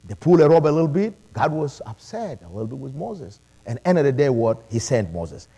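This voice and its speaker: A middle-aged man who learned English later, preaching a sermon with animated calls of praise. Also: mid-range voice, fairly quick. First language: not English. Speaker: pastor.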